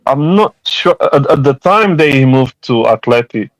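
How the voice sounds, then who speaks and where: A man's voice: medium pitch, animated, unhurried, dry recording, rough recording. A man in a casual football podcast debate, talking with many fillers and restarts.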